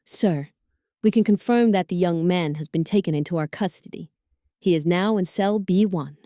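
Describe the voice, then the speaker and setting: A woman's voice: fairly low pitch, normal speed, warm tone, dry recording, clean studio sound, lightly acted. A woman playing an earnest, conscientious lab assistant in her thirties in an audio drama, speaking formally. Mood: businesslike. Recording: music behind the voice.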